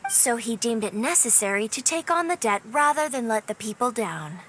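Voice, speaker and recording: Highish, courteous shopkeeper. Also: female voice, expressive, a bit bright, a little hiss or hum. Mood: explanatory. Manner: measured, courteous. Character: composed, polite, shrewd female grocery shopkeeper. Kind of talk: video game cutscene voice acting. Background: game music.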